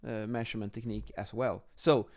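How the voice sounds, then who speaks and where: A man in his thirties explaining as an instructor in an informal lesson, a little halting as they think aloud, recorded on a close microphone in a small room. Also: lowish voice, little pitch movement, darkish tone. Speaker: acoustics instructor, presenter. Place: small home office, indoors. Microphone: microphone on boom arm, close.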